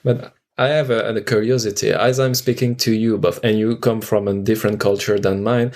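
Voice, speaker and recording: Lowish-voiced man, tentative and curious. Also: flat delivery, normal speed, a little mellow. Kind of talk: casual interview conversation.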